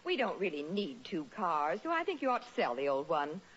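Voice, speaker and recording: An actress playing a polite, sensible suburban wife in a 1940s radio comedy style. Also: female voice, fairly low pitch, sing-song, a little fast. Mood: sensible, dry. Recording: muffled old radio recording.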